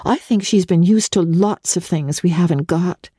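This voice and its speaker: A woman voicing an earnest, gentle young society fiancee in a dramatic audiobook reading, with hesitant, wistful enthusiasm. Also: fairly low pitch, moderate pace, husky, no echo, studio quality.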